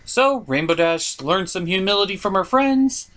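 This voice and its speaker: A man's voice: sits high, lively intonation, moderate pace, a bit bright. A man hosting a cartoon review show with theatrical, sardonic comedic commentary.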